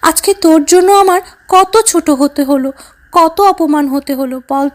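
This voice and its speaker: High-pitched cartoon mother fox. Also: normal speed, darkish tone. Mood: scolding. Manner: reproachful, fretful, motherly. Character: stern but caring mother fox. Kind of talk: children's cartoon dubbing. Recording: faint music behind.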